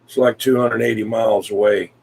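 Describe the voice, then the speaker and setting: A man in casual CB radio chat, talking in a folksy, rambling, hesitant way with frequent pauses. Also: lowish voice, fairly even intonation, vocal fry, darkish tone, husky. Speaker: CB radio hobbyist, video maker.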